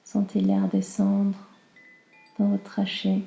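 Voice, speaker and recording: A woman guiding a slow relaxation meditation in a calm, soothing, soft and breathy voice that often nears a whisper. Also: mid-range voice, roomy sound, faint music behind. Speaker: yoga nidra guide.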